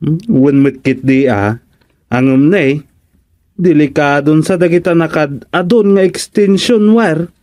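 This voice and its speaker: Man playing a high-pitched, polite Ilocano councilor. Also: measured pace, darkish tone, dry recording, studio quality, close to an everyday voice. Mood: concerned. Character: polite, concerned male fellow village councilor paying a visit. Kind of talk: Ilocano radio comedy drama acting.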